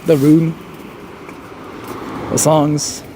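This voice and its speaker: A man's voice: on the high side, flat delivery, very slow, dark tone, slight background noise. A man, chatting casually on a live stream and reading viewer donations, rambling and joking with lots of "like.". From Japan. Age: 30s. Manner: rambling, joking, heavy use of "like".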